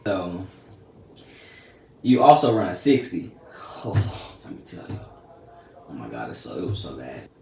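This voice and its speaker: A man's voice: sits low, very animated, unhurried, gravelly, long pauses, dark tone, reverberant, far from the mic, faint music behind. A teenage boy telling a story to camera in a casual, slangy, chatty way. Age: teens. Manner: laid-back, slangy and chatty. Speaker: vlogger.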